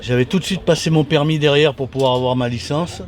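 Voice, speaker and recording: A man in his sixties with a mild Toulon accent, a former racer recalling his past in a halting, restart-filled way. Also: fairly high pitch. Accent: mild Toulon southern French. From Toulon, southern France. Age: 60s. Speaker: former motorcycle racer, guest. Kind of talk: casual interview reminiscence. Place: at a racing circuit.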